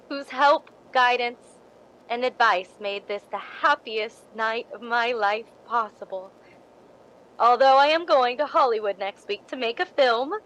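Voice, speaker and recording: A woman playing a scheming, ambitious young stage actress in a radio drama, with deliberate theatrical delivery. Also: fairly high pitch, fairly bright tone, a little hiss or hum, rough recording. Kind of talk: 1950s radio drama acting.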